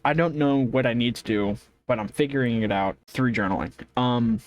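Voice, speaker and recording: A man's voice: mid-range voice, moderate pace, a little hiss or hum. A man thinking aloud in a casual, rambling audio journal, unsure of himself, often trailing off and rephrasing in loose slang. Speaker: vlogger recording an audio journal.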